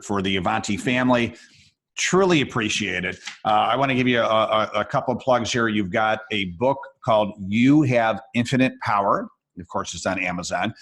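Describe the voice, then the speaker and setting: Friendly low-voiced male podcast host. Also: fairly bright tone. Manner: friendly, appreciative, casual. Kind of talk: interview conversation.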